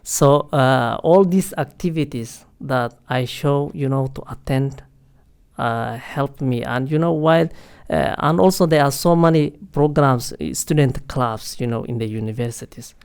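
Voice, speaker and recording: A man guesting on a podcast, halting as he speaks in a middle-pitched voice. He leans on "you know" and "uh" over and over, keeping the chat casual and searching. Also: darkish tone, clean tone. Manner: hesitant, heavy use of "you know" and "uh" fillers. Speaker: guest, international student office staff.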